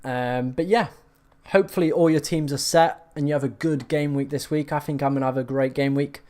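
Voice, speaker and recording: A man hosting a live stream, chatting casually and reacting to viewer comments, his voice slightly hoarse from hours of talking. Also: on the high side, fairly even intonation, brisk, a little mellow.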